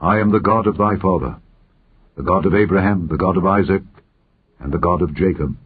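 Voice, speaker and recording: Majestic male deity read by a man for scripture audiobook: authoritative, declamatory, deep, tinny narrow-band recording. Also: brisk, breathy edge. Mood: commanding.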